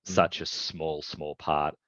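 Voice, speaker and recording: A man's voice: a bass voice, flat delivery, takes it slowly, fairly bright tone, no room sound. A middle-aged man, a guest talking confidently and emphatically about recruiting staff. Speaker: guest, recruitment business owner. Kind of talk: business interview. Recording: dull, dry remote audio.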